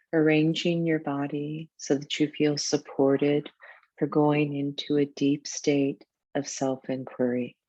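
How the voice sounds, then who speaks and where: A woman teaching yoga nidra, narrating a guided meditation in a soothing, deliberate way with measured pauses. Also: sits low, warm tone, no room sound, highs cut off.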